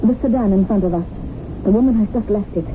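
A woman's voice: medium pitch, fast, close to an everyday voice. An actress playing a fanatical young female revolutionary kidnapper in a stern, commanding radio drama style. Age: young adult. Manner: stern, zealous, clipped orders. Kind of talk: 1970s radio drama acting. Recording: noisy, tinny old radio broadcast.

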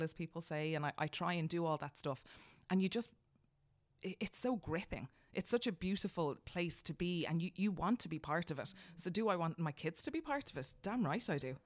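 A woman in her thirties with an Irish accent, a podcast guest telling stories in a lively, emphatic way. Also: low voice. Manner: animated, emphatic storyteller. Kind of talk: casual chat.

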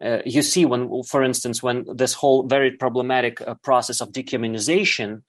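Ukrainian guy, russian is his first language, talks slow and halting, lots of ums and likes. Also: mid-range voice, fairly even intonation. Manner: halting, searching for words, many fillers. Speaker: guest. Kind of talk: reflective interview answer.

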